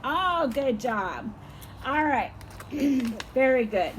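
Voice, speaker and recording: An older woman teaching a small child outdoors, speaking slowly and patiently with encouragement, her voice going hoarse. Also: sits high, lively intonation, a little hiss or hum, poor audio. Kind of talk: home lesson for young children.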